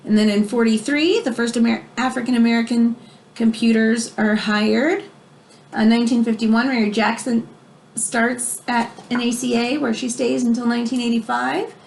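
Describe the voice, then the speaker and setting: A woman reading a children's book aloud in an expressive way, as if explaining it to young listeners. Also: a little high, moderate pace, vocal fry, a little hiss or hum. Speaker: read-aloud storyteller.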